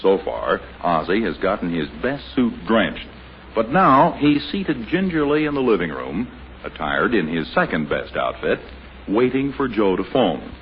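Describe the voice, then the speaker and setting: An American actor serving as a wry, conversational announcer-narrator in a scripted 1950s radio sitcom. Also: male voice, fairly low pitch, sing-song, a little slow, slight background noise. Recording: muffled vintage radio recording.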